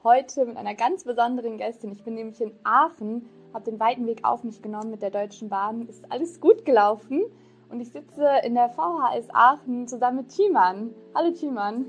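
A woman's voice: fairly high pitch, fairly quick, slightly rough. A woman hosting a podcast interview, upbeat and laughing often, in a casual chat. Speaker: podcast host. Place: adult education center.

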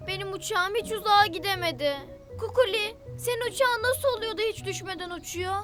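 Squeaky, extremely high little cartoon pal. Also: female voice, fairly quick. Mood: curious. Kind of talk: children's cartoon voice acting. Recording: loud music behind the voice. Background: cartoon music.

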